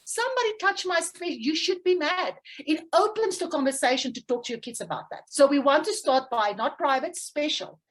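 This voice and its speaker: High-voiced Afrikaans-woman coach. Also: animated, normal speed, hardly any pauses. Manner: warm storytelling, laughs often, checks in with "okay". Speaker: parenting coach. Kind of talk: interview guest explaining.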